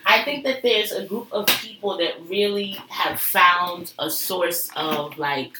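A woman's voice: on the low side, slow pace. A woman making emphatic points in a casual group chat, often asking "right?". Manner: emphatic, frequent rhetorical "right?". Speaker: discussion panelist. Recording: echoey room, faint noise.

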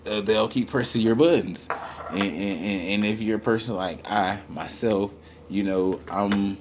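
A man with a naturally deep, low-pitched voice rants to camera in a comic, rambling way. He repeats himself, swears freely and leans on fillers and restarts. A faint steady hiss sits under his voice. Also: unhurried. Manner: rambling, repetitive, profane, full of fillers. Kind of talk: comedic rant to camera.

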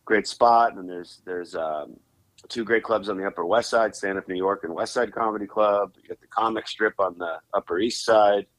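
A man's voice: on the low side, normal speed, a bit bright. A young Canadian-born man, a comedian, chatting casually in an interview with many fillers and restarts. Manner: rambling, restarts often with fillers. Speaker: comedian guest.

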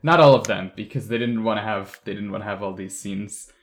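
A man's voice: on the low side, fairly quick. A man leading a casual group discussion as a podcast host.

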